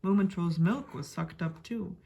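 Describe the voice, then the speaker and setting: A woman storyteller giving a dramatic reading of a rhyming picture book, expressive, with hushed and emphatic passages. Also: fairly low pitch, normal speed, gravelly, lo-fi sound. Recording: faint background noise.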